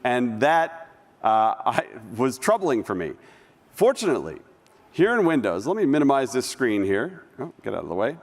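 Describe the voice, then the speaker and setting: A man presenting a live software demo on stage, talking casually and restarting sentences as he clicks through. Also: mid-range voice, big pitch swings, moderate pace. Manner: conversational, with restarts while demoing. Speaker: conference presenter. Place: large conference hall stage. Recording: long reverb of a large hall.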